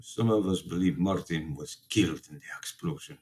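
Earnest, defiant immigrant priest. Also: male voice, low voice, moderate pace, creaky, a little mellow. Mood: impassioned pleading. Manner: earnest, pleading, formal phrasing. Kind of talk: radio play acting.

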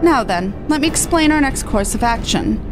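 A woman's voice: fairly high pitch, expressive, moderate pace, over music, natural voice. A woman reading game dialogue as a haughty, wordy male scholar with formal, sarcastic airs. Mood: composed, superior. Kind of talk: game dialogue read aloud in character.

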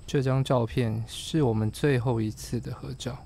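A man's voice: medium pitch, fairly even intonation, normal speed, vocal fry, mellow, slight background noise, close to an everyday voice. A man playing a kindly, regretful schoolteacher in a radio drama. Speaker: voice actor. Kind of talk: radio drama acting and recollection. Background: music.

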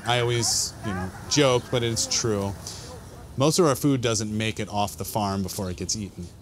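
A man's voice: on the low side, normal speed, a little hiss or hum. A man in his thirties, a school farm manager, explaining the farm in an easygoing interview outdoors.

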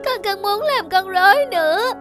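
An actress playing a weary, sorrowful young puppet who longs to be human, speaking plaintively in a cartoon. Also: female voice, extremely high pitch, lively intonation, moderate pace, music in the background. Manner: plaintive, dejected. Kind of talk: scripted cartoon dialogue.

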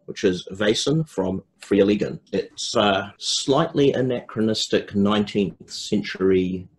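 Very low-voiced man chatting casually. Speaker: podcast guest recommending a game. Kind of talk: casual conversational explanation.

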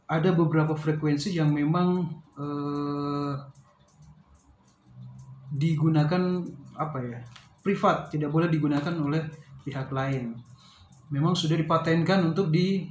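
A man's voice: a little high, darkish tone. Male vlogger, casual unscripted explainer, hesitant delivery, echoey room with slight noise. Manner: hesitant, pausing to search for words. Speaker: vlogger reviewing a product. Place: small bare-walled room indoors. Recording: roomy echo, faint background noise.

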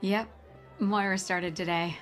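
A woman's voice: mid-range voice, steady tone, unhurried, fairly bright tone, soft background music, lightly acted. Actress as a confident, wry young woman. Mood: casual. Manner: casual, wry, direct. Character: confident, protective, wry young woman, seasoned aid-group member. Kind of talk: naturalistic video game acting.

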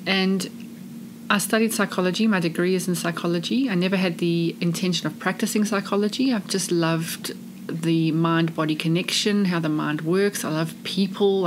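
A woman's voice: lowish voice, fairly even intonation, moderate pace, slight background noise, low-quality recording. A woman from South Africa with an English-speaking South African accent, a charity chief executive telling her story earnestly in an interview. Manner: warm, earnest, expansive storytelling. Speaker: charity CEO, guest. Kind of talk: casual interview chat.

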